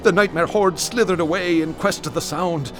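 A man's voice: on the high side, normal speed, natural voice. An actor playing a haunted, traumatized man who recounts nightmarish horrors in a florid, intense, theatrical radio drama delivery. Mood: dread-filled awe. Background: music.

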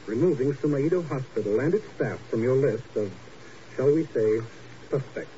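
Man acting a suave, mellow-voiced radio doctor. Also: medium pitch, animated, lightly acted. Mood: smooth, guarded. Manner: formal, measured, urbane. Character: suave, guarded hospital doctor, courteous and slightly patronizing. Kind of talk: 1950s radio drama acting. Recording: muffled, noisy old radio recording.

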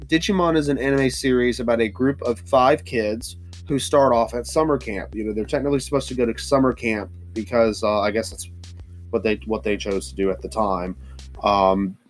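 A man's voice: medium pitch, fairly even intonation, normal speed, faint music behind. Stuffy-nosed man rambling casually. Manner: rambling, many fillers and restarts. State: stuffy nose. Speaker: podcast host. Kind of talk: casual solo podcast talk. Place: hot humid home studio with fans running.

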